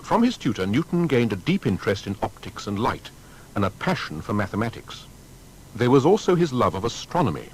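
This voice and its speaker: A man narrating a scripted documentary biography in a formal, measured storytelling manner. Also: mid-range voice, big pitch swings, a little hiss or hum.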